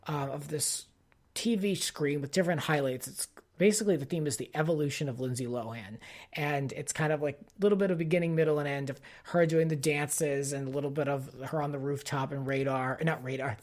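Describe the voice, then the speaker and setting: A man hosting a podcast, giving a casual, exasperated and opinionated fan commentary peppered with "like". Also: a little high. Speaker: podcast host. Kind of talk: casual pop-culture commentary rant.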